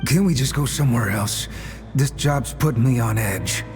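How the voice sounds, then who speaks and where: A man's voice: mid-range voice, moderate pace, music in the background, natural voice. A man playing a nervous, bumbling bandit underling in a game cutscene, anxious and prone to stammering. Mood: uneasy. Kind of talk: video game cutscene acting.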